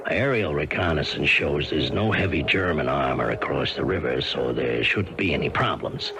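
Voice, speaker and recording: A gruff American captain in a wartime radio play, with an extremely low, gravelly voice. He briefs in a halting, informal way, and the old broadcast is clearly noisy. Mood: casual briefing. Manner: halting, informal, full of hesitant pauses. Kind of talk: radio drama acting.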